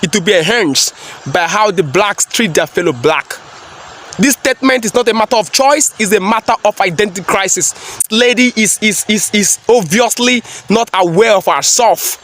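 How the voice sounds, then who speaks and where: A man's voice: high voice, moderate pace, fairly bright tone, a little hiss or hum. A man giving a preachy, emphatic reaction commentary, repeating phrases for effect. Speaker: commentary vlogger.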